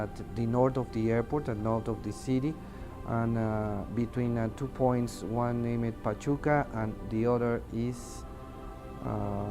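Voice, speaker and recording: A man with a Mexican accent, a native Spanish speaker, giving testimony in an interview and haltingly searching for words in his second language. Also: mid-range voice. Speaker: air traffic controller giving testimony. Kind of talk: interview testimony, unscripted recounting.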